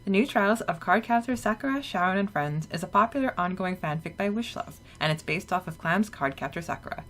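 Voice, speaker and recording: A woman's voice: fairly low pitch, expressive, fairly quick, fairly bright tone, soft background music. A woman co-hosting a fan podcast from a friend's home, chatting casually with fillers and laughter. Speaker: podcast co-host. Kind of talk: casual chat.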